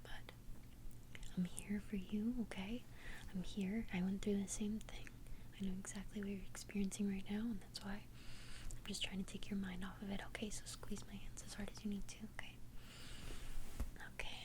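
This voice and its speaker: A woman's voice: mid-range voice, flat delivery, lightly acted. Woman as a whispering, caring vampire best friend. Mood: soothing, encouraging. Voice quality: breathy whisper. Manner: soothing, reassuring, hesitant, halting. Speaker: ASMR roleplay performer. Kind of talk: whispered ASMR roleplay.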